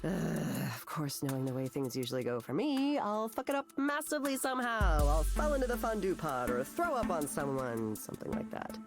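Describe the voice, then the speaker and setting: A nervous young American woman speaks in a fairly low voice over prominent music. She is a struggling artist in a visual novel, rambling and hesitant, always putting herself down. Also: big pitch swings, normal speed, hardly any pauses. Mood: anxious, self-mocking. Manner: hesitant, rambling, self-mocking, anxious. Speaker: counseling client. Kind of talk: visual novel voice acting, conversational confession.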